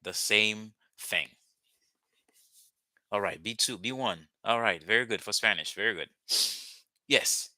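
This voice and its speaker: Haitian-accented young man as online language tutor, slow patient repeats, sharp tense tone, lowish pitch. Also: no echo, clean studio sound. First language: Haitian Creole. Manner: patient, repeats words slowly for learners. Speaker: language teacher. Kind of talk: live online language lesson.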